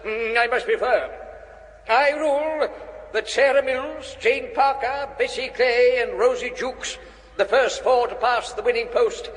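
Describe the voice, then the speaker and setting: High-pitched old country vicar, upper-class English, pompous, orotund, radio comedy, long echoing reverb. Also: male voice, fairly bright tone. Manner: ponderous, orotund, formal. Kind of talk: British radio comedy acting.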